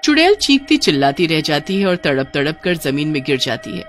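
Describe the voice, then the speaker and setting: A woman's voice: sits low, big pitch swings, fairly quick, faint music behind. A woman storyteller giving dramatic scripted narration of a cartoon horror story.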